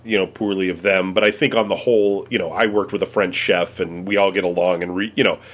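A man's voice: low-pitched, fairly even intonation, quick pace, a bit bright. An American man answering questions in a casual chat, rambling with many fillers and swearing freely. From United States. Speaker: interviewee.

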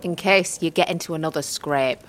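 A woman playing a gruff, wary old witch woman in an audio drama, blunt and teasing, speaking in rustic dialect. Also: low voice, moderate pace, a little hiss or hum, lightly acted. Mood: gruff, caring.

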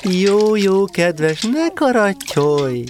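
A woman voicing a brisk mother kangaroo, cooing and firmly bossy in a children's audio drama. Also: medium pitch, very animated, background noise, close to an everyday voice. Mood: brisk coaxing. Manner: cooing, scolding, firm.

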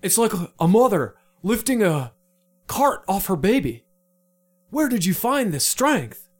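A man's voice: high voice, big pitch swings, measured pace, pitched above the performer's own voice, exaggerated voice. A man playing a drunken, dramatic knight whose grand, pompous phrasing keeps slipping into casual slang.

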